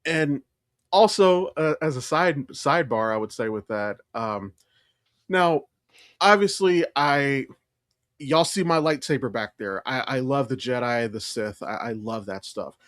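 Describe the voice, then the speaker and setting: A man's voice: mid-range voice, animated, measured pace, a bit bright, no room sound, clean studio sound. A man co-hosting a podcast, talking casually and emphatically like an opinionated, enthusiastic fan explaining things. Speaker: podcast co-host.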